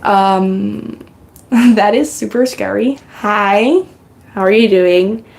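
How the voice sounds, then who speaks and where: Dutch-native lady rambling on a live stream, slow easy pace, bit of vocal fry. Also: medium pitch, darkish tone, a little hiss or hum. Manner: rambling, chatty, reads and thanks chat comments. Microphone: good podcasting microphone.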